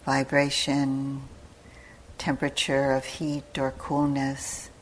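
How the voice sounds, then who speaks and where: A woman teacher giving guided meditation instructions in a calm, measured manner, with long pauses between short phrases. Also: rumbling low, slight background noise, poor audio. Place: meditation retreat hall.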